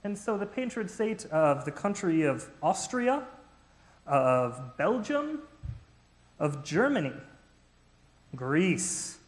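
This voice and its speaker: Fussy ancient male geographer, a man acting it in a church skit, high, animated, lecturing. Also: slow pace, long pauses, full character voice. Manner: theatrical, lecturing. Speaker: skit actor. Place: church sanctuary. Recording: echoey church hall.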